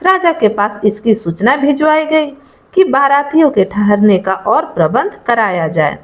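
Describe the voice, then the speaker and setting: A woman's voice: fairly high pitch, big pitch swings, measured pace. A woman narrating a scripted folk tale as a storyteller.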